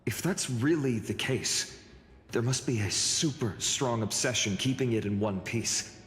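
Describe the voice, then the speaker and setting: An actor voicing a calm, cautious young male adventurer in a game. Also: medium pitch, unhurried, a little hiss or hum, lightly acted. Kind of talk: game voice acting. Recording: roomy reverb with game music.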